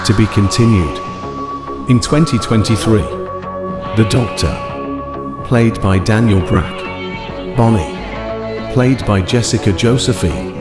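A man's voice: lowish voice, slow, long pauses. A man announcing scripted titles and credits for an audio drama. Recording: echoey voice over loud guitar music.

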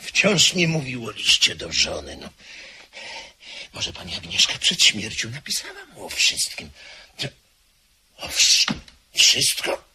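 An actor playing an anxious gentleman in a radio drama who mutters to himself and stammers. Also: medium pitch, sing-song, slow pace, pauses often, heavily acted. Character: nervous gentleman guest, jumpy and anxious. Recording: hissy old radio tape.

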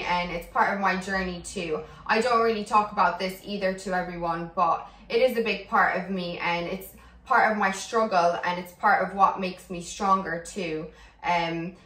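A woman's voice: medium pitch, bright, forceful tone. A woman in her twenties, originally from Slovakia and raised in Ireland, with Slovak as her first language, speaking earnestly to camera in a personal vlog. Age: 20s. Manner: earnest, candid, reflective. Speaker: fitness coach. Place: bright indoor room at home. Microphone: camera mic from a few feet away. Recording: roomy, a bit distant.

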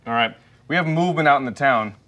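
A man's voice: mid-range voice, fast talker, sharp tone. A man playing a tabletop game, speaking tersely in improvised roleplay. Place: indoor studio set.

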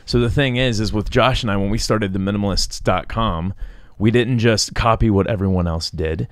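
A man's voice: fairly low pitch, normal speed, dry recording. Casual male podcast co-host, dry sound. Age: 30s. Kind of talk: casual conversational podcast talk. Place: small dark podcast studio. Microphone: close studio microphone on boom arm.

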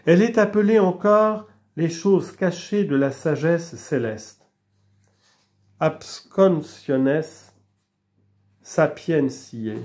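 A man slowly reading aloud from an old theology book, measured and deliberate, with small restarts. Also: a little high, darkish tone. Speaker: reader of a religious book. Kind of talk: slow read-aloud of a theology text.

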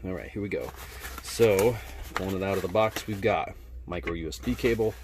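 A man's voice: sits low, fairly quick, noisy background. A man doing a casual, laid-back unboxing narration as a product reviewer, speaking in colloquial language. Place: indoors at a wooden workbench.

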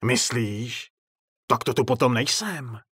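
A man voicing a vengeful, mocking ghostly circus clown with a raspy voice in an audiobook story. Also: mid-range voice, fairly bright tone, no room sound, clean studio sound. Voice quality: raspy, throaty laugh. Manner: mocking, sing-song scolding.

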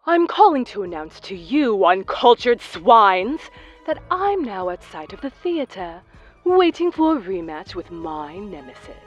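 A woman's voice: mid-range voice, big pitch swings, measured pace, dull audio, soft background music. A woman voicing a haughty, grandiose sorceress villainess in a fan cartoon, stagey and disdainful. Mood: imperious.

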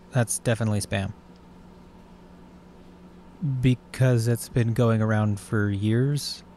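A man's voice: medium pitch, a little mellow, slight background noise. An actor playing a weary, wry man bent on revenge in an audio drama, with a dry, understated delivery. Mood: exasperated. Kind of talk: naturalistic audio drama acting.

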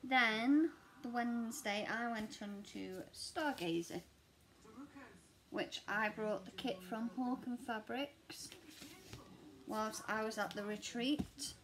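A woman in her thirties with a slightly croaky voice, chatting casually at home about her stitching projects in a rambling, self-deprecating way. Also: on the high side, takes it slowly, a bit bright, a little hiss or hum, poor audio. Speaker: cross-stitch vlogger. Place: living room at home, seated on a sofa.

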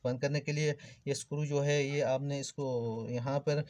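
A man's voice: mid-range voice, steady tone, normal speed, darkish tone. A man giving an informal hands-on repair tutorial, explaining things step by step and addressing viewers as friends. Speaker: electrical repair tutorial host. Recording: clearly noisy background with clicks.

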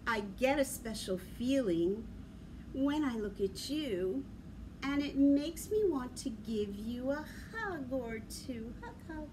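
A middle-aged woman leads a children's rhyme session in a high, very animated voice. She speaks slowly and encouragingly, with simple instructions for little ones. She is recorded by a camera in a room with clear background noise. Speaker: children's library story-time leader. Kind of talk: children's sing-along and rhyme session, talking to young children. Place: indoor library room with large windows. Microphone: camera a few feet away. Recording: clearly noisy room ambience.

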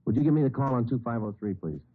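An actor playing a matter-of-fact police detective who narrates and talks in a clipped, deadpan way in an old radio procedural. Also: male voice, medium pitch, racing, a little hiss or hum, lightly acted. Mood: businesslike. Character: brisk, businesslike police detective sergeant and narrator. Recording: tinny old radio broadcast, music behind.

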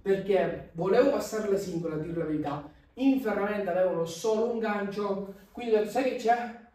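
A young man chats through a how-to in his home gym, pointing at the gear as he explains. His voice sits high for a man. He is heard from across a large, very echoey room. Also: brisk, warm tone, recorded from a distance. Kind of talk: casual how-to vlog. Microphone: camera across the room.

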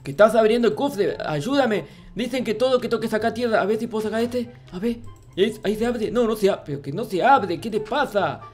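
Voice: mid-range voice, a little fast, darkish tone, lightly acted. A performer acts out a naive little boy in a video game roleplay, timid and whiny. He stammers and repeats himself in childish worry. Music plays behind the voice. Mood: puzzled. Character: naive, easily frightened childlike noob boy in Minecraft roleplay. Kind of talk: improvised in-character gaming roleplay.

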